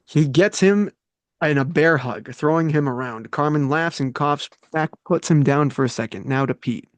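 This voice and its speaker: A man's voice: a little high, moderate pace, no room sound. A man reading scripted stage directions aloud as the narrator of a table read.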